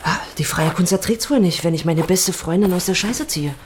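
A woman's voice: lowish voice, normal speed, noisy background, close to an everyday voice. An actress playing a blunt, teasing, short-tempered young woman in naturalistic radio drama. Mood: irritated. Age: young adult.

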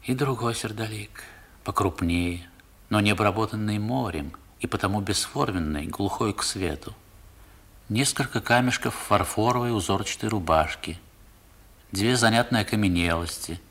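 An actor playing a grown man recalling his boyhood, narrating a 1960s radio drama in a measured, literary way with dramatic pauses. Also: lowish voice, a bit bright. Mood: wistful, descriptive.

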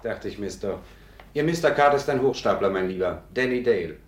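A man plays a police inspector in an old radio drama. He is dry, scornful and authoritative, with a lowish voice in an echoey room. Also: lively intonation, brisk, lightly acted. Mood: dryly knowing. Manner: authoritative, clipped. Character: matter-of-fact, dryly scornful male police inspector. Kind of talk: 1950s German radio play acting. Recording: echoey 1950s radio studio recording.

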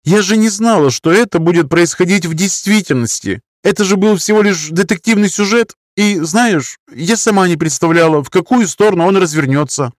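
A man's voice: high-pitched, moderate pace, hardly any pauses, no echo, studio quality, pitched above the performer's own voice, close to an everyday voice. A man voicing an anxious, defensive woman of nearly thirty in an audiobook, pleading and justifying herself. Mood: defensive, shaken. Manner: pleading, self-justifying, wordy. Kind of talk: audiobook character dialogue.

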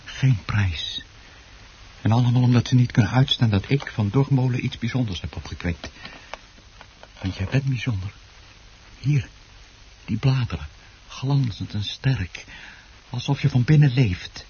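An actor playing an obsessive, unhinged plant grower in a radio drama, muttering and repeating himself between hushed passages and outbursts. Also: male voice, medium pitch, unhurried, pauses often, slight background noise, natural voice. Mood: possessive, doting. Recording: dull, muffled old radio play recording.

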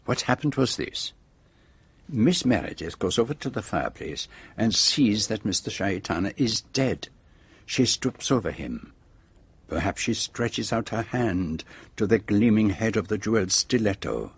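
A shrewd foreign sleuth in a radio mystery, speaking with a French-speaking accent in a close, dry sound. His voice is slightly husky, and he sets out each point precisely, with theatrical self-satisfaction. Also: fairly low pitch, animated, measured pace, no room sound, heavily acted. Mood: hushed storytelling. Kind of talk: radio drama acting.

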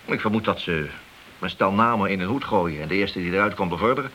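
A man's voice: sits low, animated, fast talker, bright, forceful tone. An actor playing an ambitious, glory-seeking policeman in a radio play, insistent and persuasive. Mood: scheming, confident. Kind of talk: radio play dialogue acting. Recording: old radio recording with hiss.

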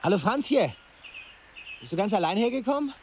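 An actor playing a bold, unflappable schoolboy with a cool, cocky manner in a radio drama. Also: male voice, sits high, sing-song, fairly quick, a little hiss or hum. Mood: upbeat, confident. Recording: studio radio drama with music behind.

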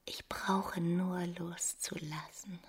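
A woman playing a desperate, jilted married romantic in a radio drama, intense and halting, often dropping to a whisper. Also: on the low side, little pitch movement, a crowd in the background. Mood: suicidal despair. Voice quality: creaky, breathy, often whispering.

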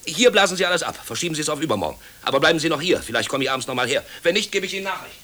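A man plays a busy company director in a radio play. He talks fast in clipped, commanding bursts, and his pitch swings widely as he reckons and orders. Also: expressive, heavy background noise, natural voice. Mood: brisk, commanding. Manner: clipped, commanding, calculating aloud. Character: brisk, busy male company director, hurried and self-important. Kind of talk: German radio play acting.

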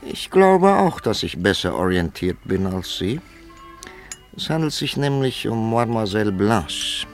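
A courteous, reserved gentleman from a German radio drama. The voice is fairly low and creaky, with animated pitch. He speaks dryly and politely, with soft music behind him. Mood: firm. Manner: polite, dry, deliberate. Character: courteous, reserved, well-informed English gentleman.

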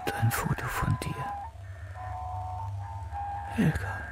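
A man playing a cornered, shaken but defiant prisoner in a radio drama, answering tersely and haltingly. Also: faint music behind, lightly acted. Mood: stunned.

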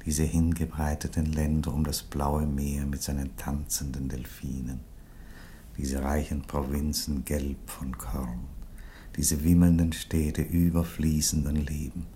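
A Roman emperor in a radio play with an extremely deep voice. He is theatrical and measured, lingering on phrases with dignified irony. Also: flat delivery, mellow, slight background noise. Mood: wistful, lyrical. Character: ironic, dignified Roman emperor resigning his empire, male. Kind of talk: German radio play stage acting.

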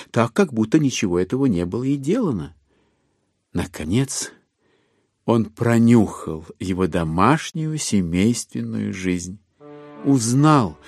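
A male narrator tells a classic story as in a staged audiobook. His mid-pitched voice is extremely animated and wry, with theatrical phrasing over faint music. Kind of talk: expressive literary narration in an audio drama.

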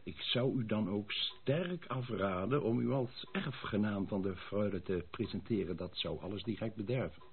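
An actor playing a genial, obliging small-town gentleman who gives discreet advice in a radio play. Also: fairly low pitch, normal speed, hardly any pauses, lightly acted. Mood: advising, hospitable. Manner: animated, advising. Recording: tinny, narrow old radio play recording.

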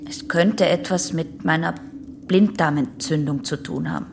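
A woman's voice: low-pitched, moderate pace, vocal fry, noisy background. An actress playing a guarded, resisting young female patient in a German radio play, answering in a terse, defensive way. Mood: reluctant recollection. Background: music.

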